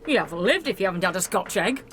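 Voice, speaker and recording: Faint noise sits behind a woman acting a plain-spoken visiting trader in a radio drama. She is blunt, informal and cheeky, with an animated delivery. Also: medium pitch, fast. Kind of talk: amateur radio play acting. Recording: faintly noisy amateur recording.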